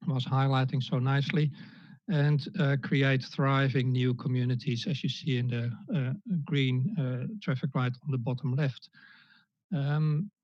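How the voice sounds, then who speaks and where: A man in his fifties from the Netherlands with a Dutch accent, giving an online presentation with many pauses, fillers and restarts. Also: mid-range voice, darkish tone, no room sound. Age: 50s. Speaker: guest speaker. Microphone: video call. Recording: dull lo-fi call audio.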